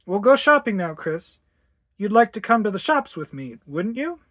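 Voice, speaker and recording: Female reader as anxious mother in a story, emphatic, strained, voice lifted high. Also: sing-song, normal speed, no echo, studio quality. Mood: coaxing, firm. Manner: tense, emphatic. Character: anxious, fretful young mother at her nerves' end.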